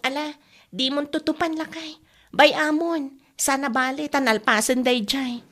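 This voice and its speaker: A woman's voice: high voice, steady tone, unhurried, a bit bright. A woman with an Ilocano accent playing a fretful, nagging wife in a comedy radio drama. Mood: soothing.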